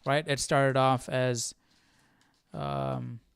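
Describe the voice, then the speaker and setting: A man hosting a casual Bible study livestream, haltingly thinking aloud as he comments. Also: mid-range voice, level tone, vocal fry, smooth, no room sound.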